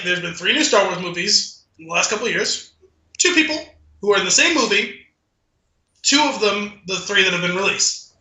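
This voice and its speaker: A man co-hosting a casual podcast, telling rambling anecdotes in an animated, sardonic way and acting out both sides of conversations. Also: high-pitched. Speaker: podcast co-host. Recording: roomy, echoey sound.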